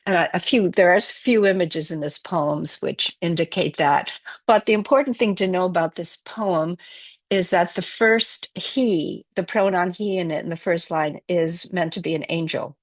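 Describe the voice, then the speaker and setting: A woman from Wales whose first language is Welsh, giving a poetry reading in a measured, reflective way. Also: on the low side, no echo. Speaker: poet.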